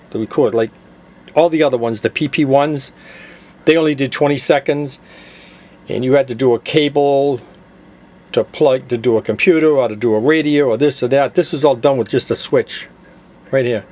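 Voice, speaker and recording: A man's voice: mid-range voice, moderate pace, a little mellow. A man giving a casual, plain-spoken hands-on product demonstration, explaining it step by step. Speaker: product demonstrator, CB radio gadget seller.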